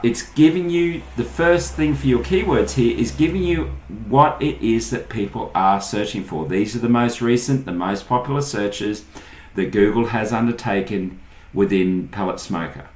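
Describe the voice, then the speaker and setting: An Australian man with a fairly low voice presents an informal how-to tutorial. He explains each step as he goes through a screen demonstration. The recording is noticeably roomy and echoey. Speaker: online marketing tutorial host.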